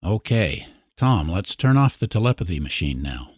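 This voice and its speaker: A man's voice: low voice, big pitch swings, moderate pace, background noise. A man hosting a podcast, speaking in a conversational literary interview style. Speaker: podcast host.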